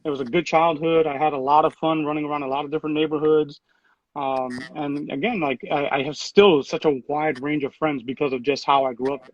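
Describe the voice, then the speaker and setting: A man in his thirties, a city program director, answering interview questions in a relaxed way with lots of fillers and restarts. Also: fairly high pitch, brisk, no echo, muffled recording. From Puerto Rico.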